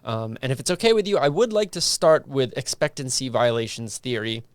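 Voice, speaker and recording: A man's voice: mid-range voice, lively intonation, fairly quick, no echo. A man hosting a casual interview podcast, chatting informally and explaining things as he goes. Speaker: podcast host.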